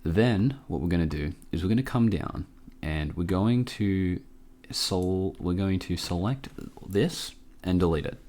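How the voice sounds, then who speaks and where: Guy with a low voice walking thru a software tutorial, casual, kinda thinking out loud. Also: unhurried, few pauses. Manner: informal, hesitant, thinking aloud. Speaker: tutorial host.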